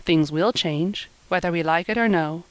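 A woman voicing a kindly, careworn old male weaver who speaks slowly in rural dialect. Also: on the low side, clear, resonant tone, no room sound, lightly acted. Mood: gentle. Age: older. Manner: slow and measured, rural dialect speech.

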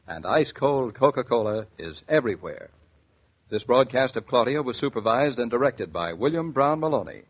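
A man with a husky voice announcing in the style of a 1940s sponsored radio show. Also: medium pitch. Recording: dull 1940s radio transcription.

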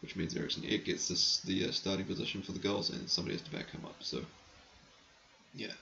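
A man with a low, heavily gravelly voice that is going hoarse hosts a casual hockey podcast. He rambles through listener questions in a frank, chatty way and keeps clearing his throat. The recording is slightly muffled, with faint background noise. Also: flat delivery, fast, pushed and bright. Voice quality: gravelly, croaky.

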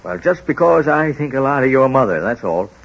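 Old radio show town doctor, american, really husky, firm but kind, kinda halting, muffled lo-fi sound. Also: male voice, mid-range voice, big pitch swings, exaggerated voice. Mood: stern but kindly. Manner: folksy, halting, gently authoritative. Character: wise, kindly small-town doctor, firm and folksy. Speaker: lead actor in a radio serial. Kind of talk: old-time American radio drama acting.

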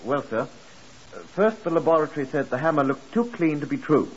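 A man's voice: on the high side, lively intonation, normal speed, close to an everyday voice. Male actor as dutiful young police constable, 1950s radio drama. Careful, respectful reporting, muffled sound with faint hiss. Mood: earnest, reporting.

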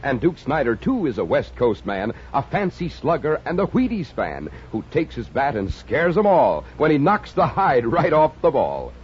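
A man's voice: medium pitch, wildly swooping pitch, normal speed, hardly any pauses, husky. A man reading a 1950s radio commercial as announcer, jaunty and folksy. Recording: dull, hissy 1950s radio recording.

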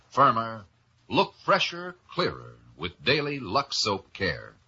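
A man reading a scripted 1950s radio soap advertisement as the announcer, with emphatic, deliberate persuasion. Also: mid-range voice, sharp tone, breathy edge. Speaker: commercial announcer. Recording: dull vintage radio broadcast.

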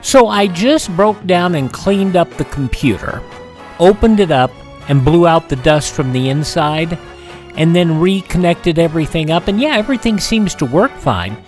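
A man hosting a classic radio program, chatting in a relaxed, rambling way with frequent fillers. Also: on the high side, normal speed, faint music behind. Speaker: radio show host. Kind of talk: casual radio host chat.

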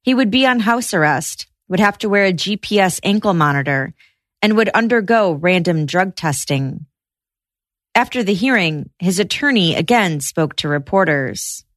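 A woman hosting a podcast, narrating a true-crime case in steady scripted storytelling. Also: on the low side, normal speed, dry recording, studio quality.

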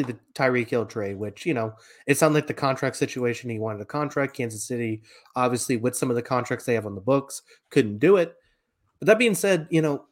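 Man talking football analysis. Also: medium pitch. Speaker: sports podcast co-host. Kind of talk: conversational American football analysis.

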